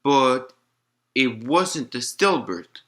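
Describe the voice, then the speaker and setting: An actor playing a researcher who puts brief, formal questions in an audio-log drama. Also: male voice, medium pitch, very animated, extremely slow, a bit bright, full character voice. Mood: formal, probing. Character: male researcher questioning an old doctor. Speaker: interviewer.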